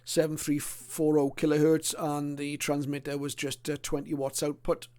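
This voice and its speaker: A middle-aged or older man presenting a casual illustrated hobby talk in a hesitant, unscripted way with frequent pauses. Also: a little high, flat delivery, a little mellow, clear, resonant tone.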